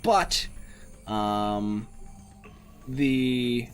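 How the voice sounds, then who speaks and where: A man's voice: medium pitch, expressive, very slow. A young man from the Netherlands with a Dutch accent, streaming a game and chatting casually, rambling with many fillers as he thinks aloud. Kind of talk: casual let's-play chat, reading chat and game text aloud.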